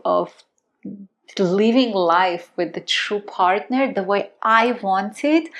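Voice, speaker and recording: A woman, telling her life story earnestly and stressing key words. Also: medium pitch. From Armenia. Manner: earnest and emphatic, repeats words for stress, slows down on key phrases. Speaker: love and relationship teacher. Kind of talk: personal storytelling.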